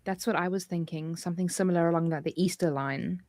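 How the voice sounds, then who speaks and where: A woman with a South African accent, chatting in a jokey, animated way as a livestream co-host. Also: on the low side, fast talker, no room sound, clean studio sound. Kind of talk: casual livestream chat.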